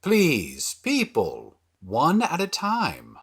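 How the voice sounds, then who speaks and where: An actor playing a diplomatic, even-tempered male producer in an audio drama. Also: a little high, drawn-out words, breathy edge, full character voice. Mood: calm.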